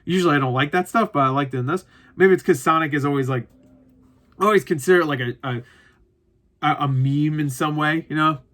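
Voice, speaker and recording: A man's voice: a little high, brisk, fairly bright tone. A man giving a casual, unscripted review to viewers, rambling and correcting himself as he goes, with some swearing. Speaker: movie reviewer.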